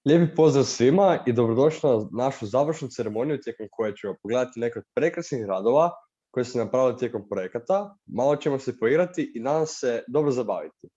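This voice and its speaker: A man talks fast in a husky, mellow voice of medium pitch. He is reading a welcome, with slightly muffled sound. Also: lively intonation. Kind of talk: scripted welcome. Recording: muffled lo-fi audio.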